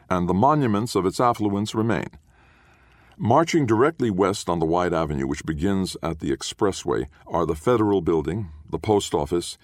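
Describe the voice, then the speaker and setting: Male narrator, extremely low bass voice, dry close sound, formal literary audiobook read. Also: dry recording. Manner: measured, formal, deliberate reading.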